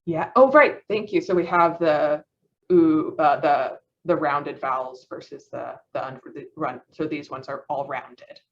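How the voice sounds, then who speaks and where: A woman's voice: low-pitched, unhurried, muffled recording, a little hiss or hum. A woman student presenting a phonetics lesson over a video call, hesitant, often restarting and laughing at herself. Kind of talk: class presentation.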